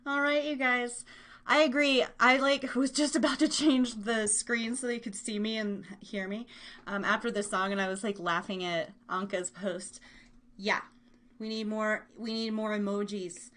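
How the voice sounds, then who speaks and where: A woman's voice: a little high, steady tone, moderate pace, a bit bright. A woman hosting a live music show, chatting casually and informally with her listeners. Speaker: radio show host.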